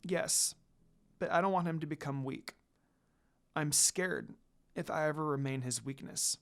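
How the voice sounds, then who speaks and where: A man's voice: fairly high pitch, measured pace. A man voicing a heartbroken, earnest young priestess in a dramatic story reading. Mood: fearful, sad.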